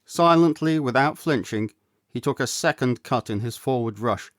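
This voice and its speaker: A man narrates a storybook with an actor's expressive, theatrical flair. His mid-pitched voice is measured and deliberate. The sound is dry, with very little room in it. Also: dry recording. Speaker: audiobook reader, actor.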